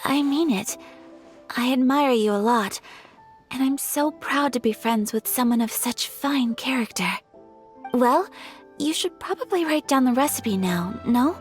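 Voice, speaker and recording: Polite young noble girl in a game, highish voice, gentle n proper, bit of music under it. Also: lively intonation, measured pace. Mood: sincere admiration. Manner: formal, gentle, courteous. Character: polite, refined, earnest young Japanese-styled noblewoman. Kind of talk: video game voice acting.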